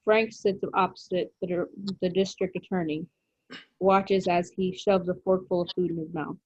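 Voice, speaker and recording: Lowish-voiced woman reading screenplay directions. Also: fairly even intonation, moderate pace, a little mellow, no echo, highs cut off. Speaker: stage directions reader. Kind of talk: scripted narration, screenplay read aloud.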